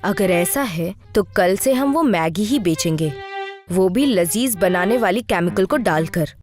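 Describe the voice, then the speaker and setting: A woman voicing a greedy, bossy rich landlady in an animated story, with an imperious, brazen manner. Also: mid-range voice, unhurried, faint music behind, pitched below the performer's own voice, close to an everyday voice. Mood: scheming. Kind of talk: animated moral story acting.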